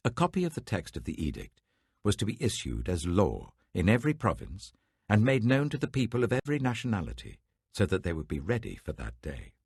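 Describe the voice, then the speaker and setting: A man reading scripture aloud in a measured, dramatic manner, close to the mic. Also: on the low side, wildly swooping pitch, darkish tone, husky, no echo. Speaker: Bible reader.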